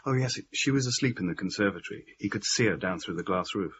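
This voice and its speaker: An actor playing an earnest, rational-minded man, telling of a haunting in measured radio-play narration. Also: on the low side, lively intonation, fairly bright tone. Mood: measured, intrigued. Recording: slightly muffled, faint background noise.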